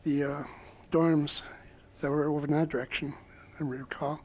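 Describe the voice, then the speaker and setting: A man with a soft voice recalling his past as a panelist at a college alumni roundtable. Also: on the high side, little pitch movement, normal speed. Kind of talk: personal recollection on a panel. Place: college event room.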